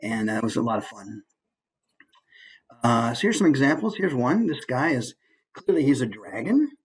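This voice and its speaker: A man's voice: mid-range voice, sing-song, normal speed, poor audio. A man who works as a voice actor, giving a casual, conversational instructional presentation with easygoing asides.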